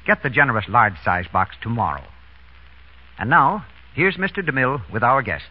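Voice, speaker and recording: An American man announcing and pitching a sponsor's product in 1930s radio style, with a husky voice. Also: very animated, moderate pace. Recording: muffled vintage radio broadcast.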